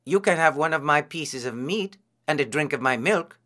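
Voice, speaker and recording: A man's voice: a little high, lively intonation, moderate pace, sharp tone, voice pushed up. A man voicing an opportunistic male jackal in a children's story, in a casual, breezy manner. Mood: grudging.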